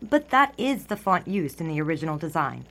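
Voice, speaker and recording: An actress playing a brisk, long-suffering 1920s newspaper secretary in a period audio drama, dry, clipped and sarcastic. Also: female voice, deep voice, sing-song, fairly bright tone, full character voice. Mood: exasperated, polite.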